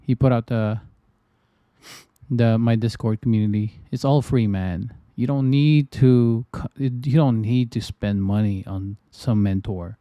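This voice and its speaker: Mellow-voiced Filipino-born man chatting casually. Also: mid-range voice, a little slow, dry recording, highs cut off. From Philippines. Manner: loose, repetitive, lots of restarts and fillers. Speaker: trading livestreamer. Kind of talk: casual livestream chat.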